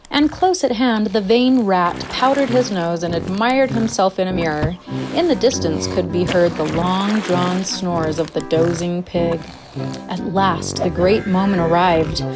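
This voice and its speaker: A woman narrating a scripted children's fairytale with an expressive storybook delivery. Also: mid-range voice. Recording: music behind the narration. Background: music.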